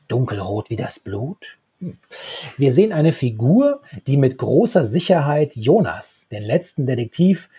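A man in his thirties with a husky voice, talking as a podcast co-host. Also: medium pitch, warm tone, lo-fi sound. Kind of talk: podcast talk, partly read summary. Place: small book-lined room at home. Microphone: handheld-style recorder microphone close up, earphones.

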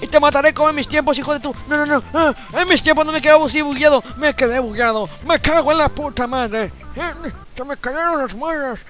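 A man puts on a cranky old grandpa with a very high, strained voice, cursing and grumbling through a comedy gameplay video. He yells at the game and complains about how things were in his day. Faint game music sits behind him. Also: a little fast, few pauses. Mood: ranting. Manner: grumbling, swearing, yelling at the game. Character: foul-mouthed cranky old grandfather gamer.

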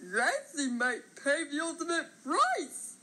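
A woman voicing a small, naive, childlike grass character for a cartoon, cutesy and squeaky with a husky edge. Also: sing-song, slow, pushed and bright, heavily acted. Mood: curious, innocent. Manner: cutesy, refers to self in third person.

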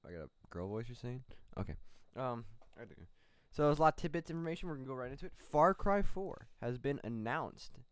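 A man's voice: mid-range voice, big pitch swings, a little fast, hardly any pauses, clear, resonant tone, no echo. A young man co-hosting a casual gaming news show from a small home studio, rambling through the stories with plenty of fillers. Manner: rambling, loose delivery with many fillers. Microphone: studio mic on a boom arm.

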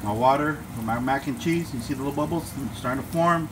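A man's voice: mid-range voice, a little fast. A middle-aged man talking casually to viewers while he cooks dinner in his home kitchen, filmed on a handheld phone. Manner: laid-back, chatty, talks to viewers as "guys". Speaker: home cooking vlogger. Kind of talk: casual cooking vlog. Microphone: phone camera held while cooking. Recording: phone recording with cooking noise. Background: frying sizzle and kitchen noise.

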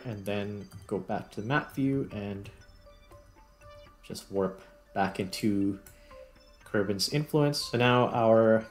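A man with a fairly low voice hosts a gameplay video in a casual style. He thinks aloud and often corrects himself mid-thought. Faint music plays behind him. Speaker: gaming video host.

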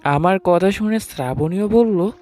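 A man's voice: high voice, unhurried, darkish tone. A man tells a romantic story in the first person, speaking as an affectionate, teasing husband. His voice sits over a bed of background music. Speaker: narrator and storyteller. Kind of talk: dramatized first-person love story narration. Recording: music under the voice.

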